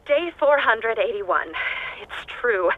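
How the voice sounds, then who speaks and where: A woman's voice: a little high, expressive, slow, a little hiss or hum. An actress voicing a lonely, unhinged woman scientist who is recording audio logs alone on a hostile planet. She speaks in a dry, clipped, detached way that drifts into manic glee. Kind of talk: game audio log monologue. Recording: dull lo-fi game audio log.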